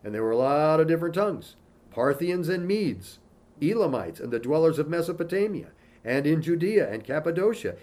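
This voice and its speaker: A man teaching as a pastor in a relaxed, conversational way, reading scripture aloud between informal asides and frequent "you know" fillers. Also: fairly high pitch.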